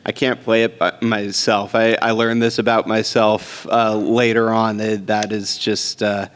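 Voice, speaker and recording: A man speaking at a slow, unhurried pace on a convention panel, rambling with fillers and restarts. His voice carries a long reverb from a large convention hall. He sounds like a voice actor chatting casually with fans. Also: on the low side, little pitch movement, fairly bright tone, slight background noise. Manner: rambling, many fillers and restarts, chuckles often.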